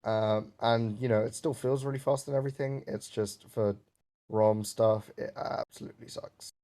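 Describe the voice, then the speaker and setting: A man chatting casually about phones and software on a voice call, rambling with fillers and some swearing. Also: medium pitch, fairly even intonation, normal speed, vocal fry. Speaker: jailbreak developer on a stream. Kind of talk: casual tech chat.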